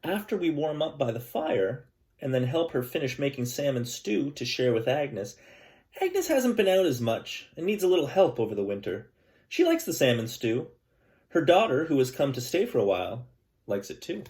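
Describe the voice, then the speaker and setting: Male schoolteacher, mid-pitched voice, calm and gentle read-aloud with friendly asides to the class. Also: normal speed. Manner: gentle, calm storytelling with chatty asides to kids. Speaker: elementary school teacher. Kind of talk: reading a picture book aloud to students.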